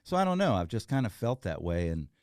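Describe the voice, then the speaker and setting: A man's voice: on the low side, very animated, fast talker, darkish tone, clear, resonant tone, dry recording, studio quality. A middle-aged man, a guest talking casually and earnestly, rambling with fillers and restarts. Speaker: guest, entrepreneur. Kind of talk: casual interview chat.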